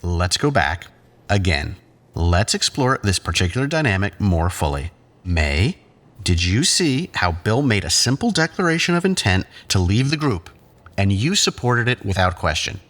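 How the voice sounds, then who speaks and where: Fields: low-voiced, condescending psychiatrist played by a man. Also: very animated, a bit bright. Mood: stern, coaxing. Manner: measured, clinical, patronising. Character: condescending, controlling male psychiatrist leading group therapy. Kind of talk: audio drama acting.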